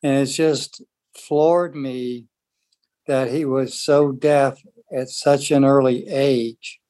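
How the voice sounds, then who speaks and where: A man talking casually in a book discussion group, in a halting, rambling way. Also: medium pitch, steady tone, dark tone, dry recording. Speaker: book club participant.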